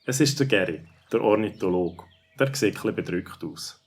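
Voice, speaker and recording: A man's voice: on the low side, lively intonation, normal speed, breathy edge. A man with a Swiss accent narrating a scripted children's story.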